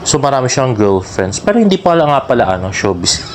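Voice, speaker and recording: A man's voice: medium pitch, very animated, a little fast. Chatty Filipino man with noisy background. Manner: chatty, gushing fan talk with tag questions. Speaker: fan vlogger. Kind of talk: casual commentary on celebrity social media posts. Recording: clearly noisy background with ambient sound.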